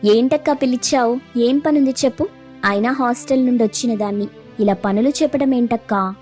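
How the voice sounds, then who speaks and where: A woman's voice: a little high, fairly quick, a little mellow, faint music behind, close to an everyday voice. A woman voicing a mischievous, teasing young woman in a playful, sometimes whiny way for a story. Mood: protesting. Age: young adult.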